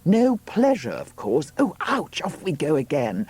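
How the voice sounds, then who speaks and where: A man's voice: on the high side, wildly swooping pitch, a little slow, husky, pitched above the performer's own voice, full character voice. A man voicing a plucky, frank young woman in labour in an audiobook story, exclaiming and chatty. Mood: frank, playful. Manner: exclaiming, chatty between yells.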